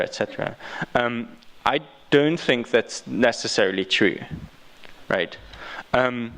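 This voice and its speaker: A man's voice: mid-range voice, fairly bright tone. A man from Austria with an Austrian accent, speaking as a guest and answering questions unscripted, often repeating and restarting his phrases. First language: German.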